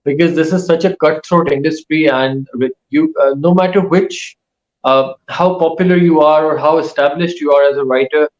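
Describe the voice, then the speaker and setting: A male author, whose first languages are Urdu and Saraiki, giving casual interview answers in a thoughtful way that pauses often mid-sentence. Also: fairly high pitch, fairly even intonation, muffled recording. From Pakistan. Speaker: author and writing teacher.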